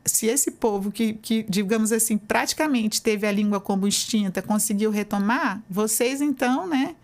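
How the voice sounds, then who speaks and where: A woman's voice: medium pitch, normal speed. A woman professor with a Brazilian Portuguese accent, answering interview questions in an explanatory, conversational way, often adding "né". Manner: explanatory, uses many fillers like "né". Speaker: university professor, guest.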